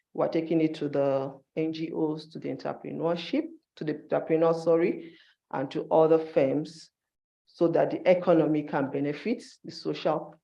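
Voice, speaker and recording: A man's voice: a little high, gravelly, mellow, dull audio. A man giving an instructive conference presentation in short, emphatic phrases, as a university staff member.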